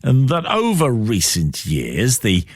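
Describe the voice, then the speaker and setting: A man's voice: mid-range voice, huge pitch swings, slow pace, breathy edge, no echo, clean studio sound. A man with a British accent hosting a conversational radio interview, reflective and given to posing questions. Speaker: radio show host.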